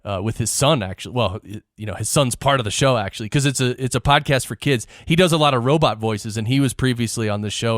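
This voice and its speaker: A man's voice: medium pitch, a bit bright, no room sound, clean studio sound. A man hosting an interview show, chatting in an informal, rambling, conversational way. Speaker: podcast host. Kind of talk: casual interview chat.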